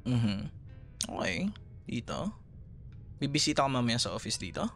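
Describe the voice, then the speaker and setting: A man's voice: medium pitch, expressive, moderate pace, faint music behind, lightly acted. A Filipino man playing a chatty, teasing café worker in a casual, naturalistic boyfriend roleplay audio. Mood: surprised, curious. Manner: chatty, playful, casual conversational.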